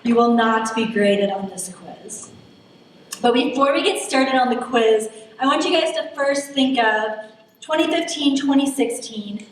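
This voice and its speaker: A young woman with a soft voice giving a chatty, self-deprecating political science lecture and speaking directly to her audience. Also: fairly high pitch, unhurried, a little hiss or hum. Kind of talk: public lecture. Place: lecture hall. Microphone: distant mic at a lectern. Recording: echoey hall from far off.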